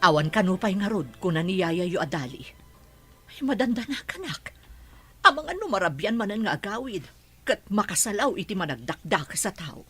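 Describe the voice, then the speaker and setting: A woman plays a middle-aged mother and businesswoman with an Ilocano accent, firm but fair, her pitch moving a lot. Faint music plays underneath. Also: very animated, moderate pace, breathy edge. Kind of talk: Ilocano audio drama.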